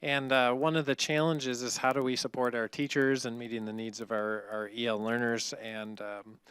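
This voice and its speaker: A man speaking as a school district administrator at a board meeting, talking in a measured way with frequent fillers. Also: mid-range voice, level tone, fairly bright tone, clear, resonant tone, no echo. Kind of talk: formal meeting remarks.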